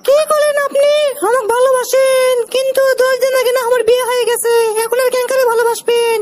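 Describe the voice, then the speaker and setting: A performer voicing a bewildered young married village woman in a comic cartoon, questioning and dismissive, with a pitched-up effect. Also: little pitch movement, brisk. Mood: puzzled, dismissive.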